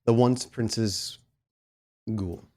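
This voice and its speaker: A man playing a reserved male courier for a vampire prince in a tabletop game, speaking in a deliberate, terse, businesslike manner. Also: fairly low pitch, warm tone. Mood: solemn, businesslike.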